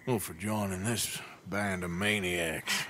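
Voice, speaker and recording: A man's voice: sits low, steady tone, takes it slowly, bright, forceful tone, a little hiss or hum, exaggerated voice. An actor playing a weary, laconic outlaw gunman who answers in short, dry remarks, in a video game cutscene. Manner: terse, dry.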